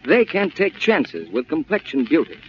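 Animated male vintage radio announcer. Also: on the high side, normal speed. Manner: polished, persuasive sales delivery. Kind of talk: 1940s scripted radio announcing and advertising. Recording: muffled, hissy vintage radio recording.

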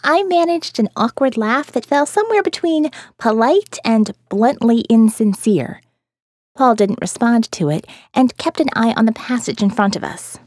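A woman's voice: fairly high pitch, expressive, moderate pace, darkish tone, dry recording, studio quality. A woman playing a smart, wary survivor who narrates her own diary in audio fiction, wry and challenging. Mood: reflective. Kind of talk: first-person audio fiction narration and dialogue.

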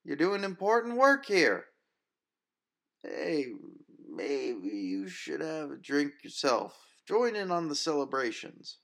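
A man's voice: on the high side, sing-song, takes it slowly, gravelly, fairly bright tone, pitched above the performer's own voice, heavily acted. A man voicing a jovial, familiar drunken bar patron in an audiobook, cajoling and drawling as he offers comfort. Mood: jovial, consoling.

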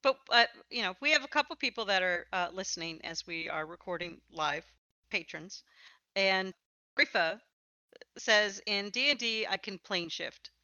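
A woman's voice: mid-range voice, expressive, moderate pace, pushed and bright, dry recording, lo-fi sound. A woman hosting a casual live podcast interview, chatting and asking questions of a guest.

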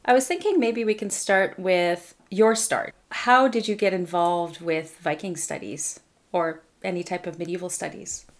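A woman's voice: fairly low pitch, a little slow. A woman hosting a conversational interview in a courteous, appreciative manner. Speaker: interviewer, host.

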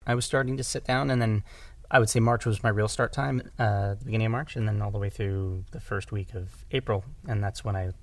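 A man's voice: on the low side, fairly even intonation, fairly quick, no room sound. A man talking casually as an audio drama creator in an interview, often repeating words and correcting himself as he goes. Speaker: audio drama writer-director, podcast guest.

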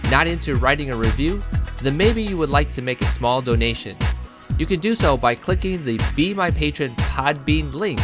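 Guy hosting his podcast, mid pitch, upbeat then laid back, faint music behind. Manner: upbeat scripted promo, then relaxed questions. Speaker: podcast host. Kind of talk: podcast intro and casual interview.

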